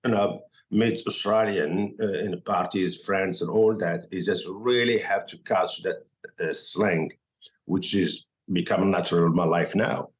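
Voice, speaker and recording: A man from Morocco with a Moroccan accent and Arabic as his first language, telling his story as a podcast guest in halting, filler-filled English. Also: sits low. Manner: halting non-native English, many fillers and restarts. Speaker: guest chef. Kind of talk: casual podcast interview.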